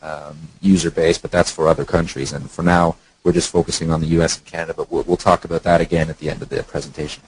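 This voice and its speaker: A man's voice: very deep voice, little pitch movement, fast, breathy edge, dry recording, poor audio. A man speaking as an online marketer and co-founder on a phone conference call, informal and explanatory.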